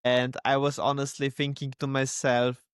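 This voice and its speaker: A man's voice: mid-range voice, monotone, normal speed, clean tone, no room sound, clean studio sound. A young man from Slovenia co-hosting a casual podcast, laid-back and chuckling often. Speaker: podcast co-host. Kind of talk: casual chat.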